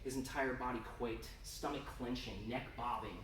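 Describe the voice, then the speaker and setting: A man gives a dramatic live reading of a story. He sounds intense and theatrical, leaning on pauses for emphasis. The recording is distant and echoing, with some noise around him. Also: medium pitch, fairly even intonation, fairly bright tone. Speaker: author reading own fiction. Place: indoor convention reading room. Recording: roomy, distant, noisy live event recording.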